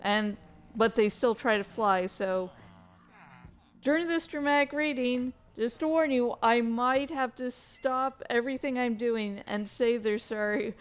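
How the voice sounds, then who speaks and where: A woman's voice: fairly high pitch, measured pace, smooth. A woman hosting a livestream in casual, scattered chat, easily distracted and swearing freely. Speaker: streamer and host of a dramatic reading series. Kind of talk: casual, rambling livestream chat while playing a game.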